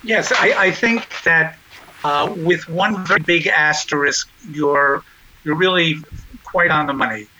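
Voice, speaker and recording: A man's voice: fairly high pitch, measured pace, sharp tone. A man being interviewed as a guest over a poor long-distance connection, hesitant and full of fillers and restarts. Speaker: guest, nonprofit chairman. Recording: muffled long-distance line.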